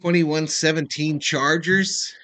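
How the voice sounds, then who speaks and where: A man's voice: a little high, fairly even intonation, slow pace, pushed and bright. A man hosting a casual live sports stream, calling plays as a fan, informally saying y'all and swearing. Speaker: live stream host doing fan play-by-play commentary.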